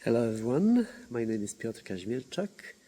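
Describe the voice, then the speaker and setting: A man gives a video self-introduction as a university coordinator, speaking with lively, swooping pitch and halting pauses. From Poland. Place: remote village.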